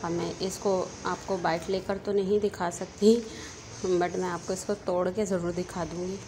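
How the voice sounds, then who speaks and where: A woman's voice: lowish voice, little pitch movement, moderate pace, background noise. Chatty woman with a Pakistani Urdu accent. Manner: chatty, repeats "theek hai" after each step. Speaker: home cooking channel host. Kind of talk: casual cooking tutorial.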